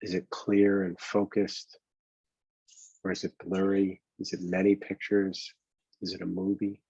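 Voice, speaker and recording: A man with a husky voice leads a guided meditation as a coach, speaking gently and conversationally, unhurried and halting, with long pauses. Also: low voice, completely flat delivery. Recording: dull, boxy, roomy lo-fi sound.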